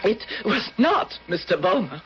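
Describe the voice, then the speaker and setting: An actress playing a terrified, distraught woman who speaks haltingly in an old radio mystery drama. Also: mid-range voice, sing-song, a bit bright. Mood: frightened. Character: terrified woman in shock, refusing to listen. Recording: muffled, noisy vintage radio broadcast.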